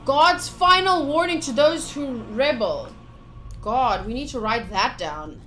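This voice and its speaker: A woman streaming a game playthrough, chatting casually and reading the text aloud in an expressive, enthusiastic way. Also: high-pitched, takes it slowly, soft background music. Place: livestream setup.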